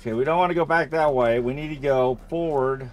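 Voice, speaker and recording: A man giving casual let's-play commentary, thinking out loud and repeating himself as he goes. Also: mid-range voice, a little fast, slight background noise. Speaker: gamer playing and commenting on a horror game.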